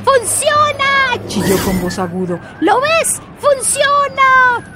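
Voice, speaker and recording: A woman voicing a tiny, grumpy male dream-eating imp in a storybook, with a thin, high, squeaky voice and impatient, sing-song grumbling. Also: huge pitch swings, takes it slowly, over loud music, full character voice. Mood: gleeful. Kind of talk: storybook character voice.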